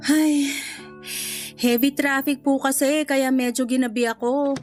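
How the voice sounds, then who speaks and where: A very high-voiced, earnest Filipino daughter. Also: female voice, steady tone, unhurried. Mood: polite, curious. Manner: respectful, earnest, readily protesting. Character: polite but indignant young adult daughter. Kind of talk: Filipino radio drama acting. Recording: music behind the voice.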